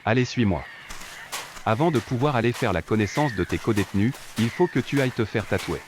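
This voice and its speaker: A man's voice: mid-range voice, expressive, brisk, noisy background, close to an everyday voice. A man playing a breezy, teasing guard on a prison island in an audio drama, casual and chatty.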